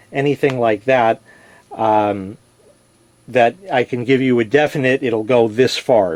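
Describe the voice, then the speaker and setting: A man's voice: mid-range voice, darkish tone, no echo. Guy doing a laid-back product demo, explains stuff plainly, fixes his own slips, really dry close sound. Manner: plain-spoken, explanatory, self-correcting. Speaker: product reviewer. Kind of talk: casual product demonstration. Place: indoors in a basement.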